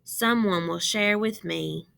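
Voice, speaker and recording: A woman's voice: medium pitch, slow, creaky, a bit bright, heavily acted. A woman voicing a sincere, thoughtful young schoolgirl in a storybook, speaking simply and earnestly with emphasis. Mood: kind, earnest. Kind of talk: storybook read-aloud.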